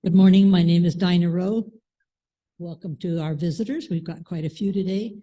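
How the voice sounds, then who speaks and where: A woman's voice: fairly low pitch, steady tone, moderate pace, dark tone. A woman church member introducing herself plainly and in a friendly way. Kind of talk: short self-introduction.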